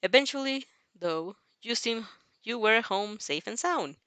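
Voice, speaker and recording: High, bright-voiced woman narrator. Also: expressive, measured pace, no echo. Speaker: reader and fanfic reactor. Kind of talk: expressive story narration with casual reaction asides.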